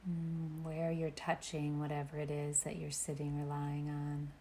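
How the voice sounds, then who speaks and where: A woman meditation teacher leading a guided meditation with gentle, unhurried instructions and long pauses. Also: sits low, completely flat delivery, a little hiss or hum.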